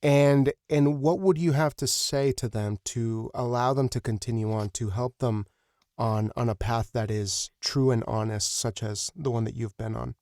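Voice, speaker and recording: A man's voice: medium pitch, fairly even intonation, normal speed, darkish tone, no echo, clean studio sound. A man hosting a podcast, interviewing in a casual, conversational way with an effusive, encouraging manner.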